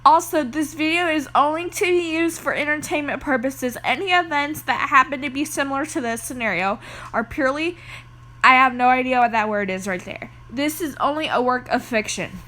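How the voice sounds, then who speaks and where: A woman's voice: high voice, moderate pace, pushed and bright. A woman hosting a reaction video, chatting casually and reading on-screen text aloud.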